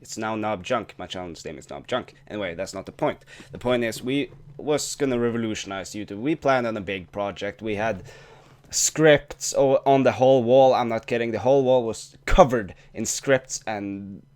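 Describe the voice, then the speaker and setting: A man's voice: on the low side, moderate pace, a little mellow. A young man whose first language is Norwegian, talking casually to camera in a vlog with frequent restarts and swearing. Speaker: YouTuber.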